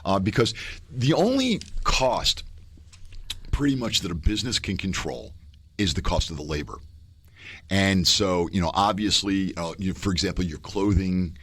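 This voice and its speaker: A man's voice: low voice, expressive, normal speed, a bit bright, dry recording. A middle-aged man, a professor guest on a radio talk show, explaining at length with fillers and restarts.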